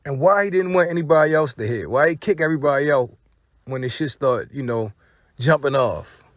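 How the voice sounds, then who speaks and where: A man's voice: fairly high pitch, lively intonation, brisk, no echo, clean studio sound. An American man hosting casual gossip commentary, speaking in profane slang and posing rhetorical questions. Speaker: commentary channel host.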